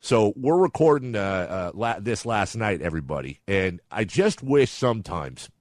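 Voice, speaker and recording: A man with a bassy voice hosting a casual sports talk interview, chatty, informal and digressive. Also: sing-song, normal speed, darkish tone, no room sound, studio quality. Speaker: radio show host, interviewer.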